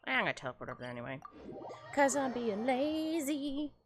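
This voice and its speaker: A playful woman streamer who chats casually over her game, with a lively, bouncy pitch. She reacts aloud as she plays. Game music hums faintly behind her. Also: fairly quick. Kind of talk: casual gameplay commentary.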